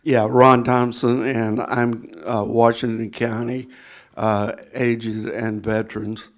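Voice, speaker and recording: A man's voice: medium pitch, level tone. A man introducing himself at a committee meeting, stopping often with fillers. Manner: halting, full of uh fillers. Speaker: committee member. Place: meeting room.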